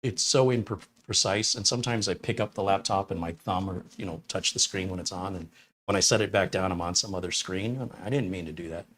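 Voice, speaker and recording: A talkative man in his fifties or sixties with a fairly low voice, from Detroit. He hosts a relaxed live tech stream from a small studio room, rambling between tasks and giving his opinions bluntly. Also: brisk, a little hiss or hum. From Detroit, USA. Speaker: tech reviewer host. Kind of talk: casual live-stream chat answering viewer questions.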